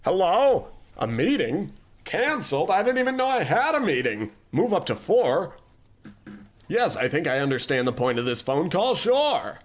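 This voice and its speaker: An actor playing a pompous, self-absorbed tycoon boss in an old-time radio comedy, with a bossy, theatrical delivery. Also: male voice, on the high side, big pitch swings, normal speed, slight background noise. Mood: haughty.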